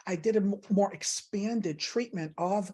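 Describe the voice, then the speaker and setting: A woman speaking as an academic author in an interview, explaining thoughtfully and haltingly with repeats. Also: mid-range voice, warm tone. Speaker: professor and author guest.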